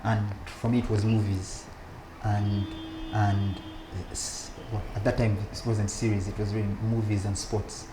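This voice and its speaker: A man with a lowish voice recalls his youth for an interviewer. He speaks in a relaxed, conversational way and laughs often. A faint hum of room noise sits beneath him. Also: measured pace, hardly any pauses. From Uganda. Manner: laughs often, frequent restarts and fillers. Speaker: guest.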